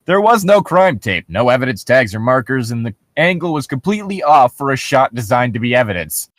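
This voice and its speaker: Sarcastic, swearing man with lively pitch. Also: a little fast, dry recording. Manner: profane, sarcastic, ranting. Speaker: podcast co-host. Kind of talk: casual comedic commentary on read-aloud horror stories.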